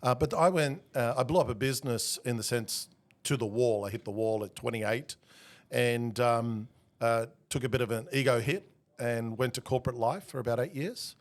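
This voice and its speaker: A man in his forties, born in Argentina and raised in Australia, telling his story as a podcast guest in a relaxed, self-deprecating way with many fillers. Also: medium pitch, moderate pace. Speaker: guest, executive coach. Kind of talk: casual interview talk.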